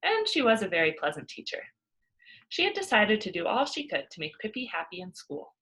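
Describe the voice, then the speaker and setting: A woman reading a children's story aloud in an expressive narrating style. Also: mid-range voice, a little fast, breathy edge, low-quality recording. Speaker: storybook reader.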